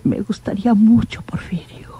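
A woman's voice: medium pitch, moderate pace, whispered, muffled recording, natural voice. An actress playing a frail, frightened bedridden woman in a Mexican radio drama, with a Mexican accent. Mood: fearful, weak.

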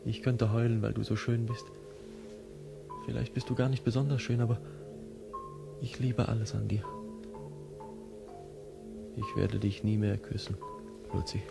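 An actor playing a weary young husband in an old radio drama, talking in a hushed, heavy, halting way. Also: male voice, on the low side, little pitch movement, creaky. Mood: tender. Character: weary young man, husband, tender and grim. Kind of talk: 1950s radio drama acting. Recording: old radio broadcast with music.

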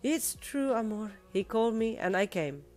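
A woman voicing a warm, kindly male Italian taxi driver with an Italian accent and a soft, reassuring manner. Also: fairly high pitch, expressive, soft background music, pitched above the performer's own voice, natural voice. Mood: bright, happy. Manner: soft-spoken, drawn-out, sprinkles endearments.

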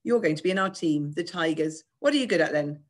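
A woman's voice: low voice, expressive, fast talker. A woman voicing a pushy, scornful posh British boy in a children's story, sneering and sarcastic.

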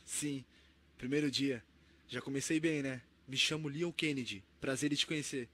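A man's voice: mid-range voice, steady tone, moderate pace, a little hiss or hum, natural voice. A man with a Brazilian accent voicing a young, alert, commanding rookie policeman in a video game fan dub. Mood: wry, calm. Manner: urgent, commanding. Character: young rookie policeman, alert, urgent, decent, zombie survivor. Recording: loud music behind the voice. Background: loud game music.

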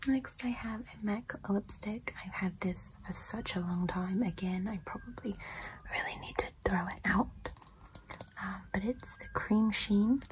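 A young woman whispering softly and gently in a casual, unhurried ASMR style as she presents things close to the mic. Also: mid-range voice, a little hiss or hum, poor audio. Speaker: ASMR video host. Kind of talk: soft-spoken whispered ASMR product show-and-tell.

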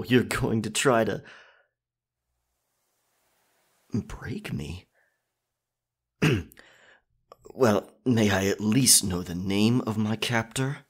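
A man playing a captured, proud and defiant pirate captain in an ASMR roleplay, with theatrical old seafaring phrasing and a husky, breathy voice. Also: lowish voice, full character voice. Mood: wry, weary.

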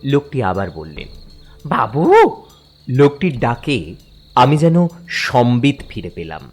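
A man narrating a horror story in the first person, dramatic and suspenseful, halting in tense moments. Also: medium pitch, very animated, darkish tone, faint music behind.

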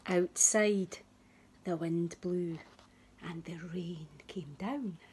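A woman in her thirties reading a picture book to young children expressively and deliberately, with dramatic pauses. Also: fairly low pitch, steady tone, noisy background. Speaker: early years teacher reading to children. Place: small room at home, indoors.

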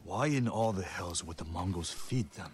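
A low-voiced, gruff warrior. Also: male voice, normal speed. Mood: baffled, indignant. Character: gruff, troubled warrior loyal to his men. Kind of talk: video game dramatic acting.

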